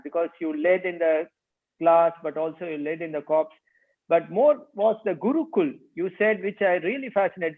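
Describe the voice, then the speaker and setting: Male interviewer, high voice, rambling conversational questions, thin telephone-like lo-fi audio. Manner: rambling, rephrasing questions as he goes.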